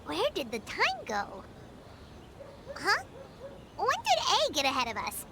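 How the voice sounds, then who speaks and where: Tiny excitable fairy sidekick, anime game. Very high, wildly animated, childlike, blunt and cheeky. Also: female voice, takes it slowly, a crowd in the background, full character voice. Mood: cheerful. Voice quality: squeaky, childlike. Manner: perky, blunt, exclamatory. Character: tiny excitable floating fairy-like girl companion, cheeky and greedy. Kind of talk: anime-style video game voice acting. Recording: background music under voice.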